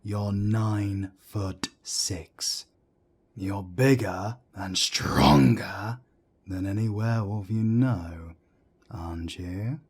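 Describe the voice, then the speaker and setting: A man playing a calm, firm older werewolf councillor and mentor in an audio drama, with a soft, breathy rasp. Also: lowish voice, heavily acted. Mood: firm, pressing. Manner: measured, firm, reassuring. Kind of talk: scripted roleplay audio drama.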